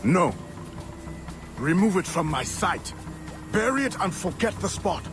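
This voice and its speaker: A stern assassin mentor for a video game. He is curt, imperious and bitter, scolding in a somewhat high male voice over background music. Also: very animated, a little slow. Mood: angry, dismissive. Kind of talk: dramatic video game voice acting.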